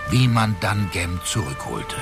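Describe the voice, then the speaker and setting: An actor narrating a fantasy radio drama as a storyteller, with expressive, measured delivery. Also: male voice, low-pitched, full character voice. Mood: intriguing narration. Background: clear music.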